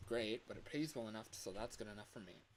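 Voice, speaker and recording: A man's voice: on the low side, fast talker, close to an everyday voice, poor audio. A man voicing a chatty, resigned family-man coworker who is training a newcomer in a video game. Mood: upbeat, explaining. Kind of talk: rehearsed game voice lines. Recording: clear background hiss.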